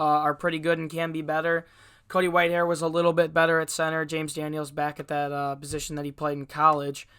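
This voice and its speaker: A young man with a stuffy, congested voice from a cold, hosting a solo sports podcast in a casual, opinionated way, filling pauses with "uh" and "um". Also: on the high side, bright, forceful tone, clean tone, no room sound, clean studio sound. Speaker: podcast host.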